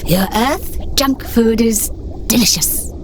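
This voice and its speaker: A woman voicing a sinister, imperious alien insect in an audiobook, with slow, grandiose, gloating delivery. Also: a little high, background noise. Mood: relishing.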